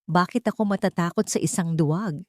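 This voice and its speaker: A woman's voice: fairly low pitch, brisk, dry recording, close to an everyday voice. A wronged Filipino wife from an audiobook melodrama, played by a woman narrator. She is composed, fearless and defiant, heard in a dry, close sound.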